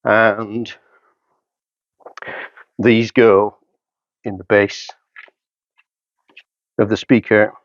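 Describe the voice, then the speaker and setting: A man's voice: fairly low pitch, level tone, drawn-out words, pauses often, no room sound, dull audio. A man in his fifties giving a matter-of-fact, step-by-step product demonstration in short phrases while he works. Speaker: hi-fi dealer presenter. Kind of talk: hands-on product setup demonstration, talking while working. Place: carpeted indoor showroom room.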